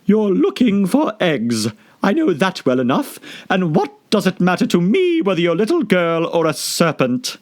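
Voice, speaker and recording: A man voicing a frantic, scolding mother pigeon in a shrill, indignant, sobbing storybook voice. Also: huge pitch swings, normal speed. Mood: sulky, accusing. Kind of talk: storybook character voice.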